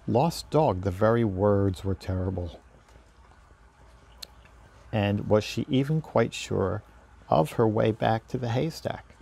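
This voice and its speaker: A man's voice: lowish voice, slow pace, gravelly, long pauses, dark tone, breathy edge. A man reading a children's storybook aloud as narrator. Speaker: story reader.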